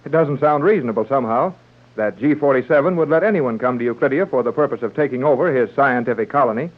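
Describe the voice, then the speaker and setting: Male announcer, thirties serial narration, scripted delivery, medium pitch, dull narrow-band old recording. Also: fast talker.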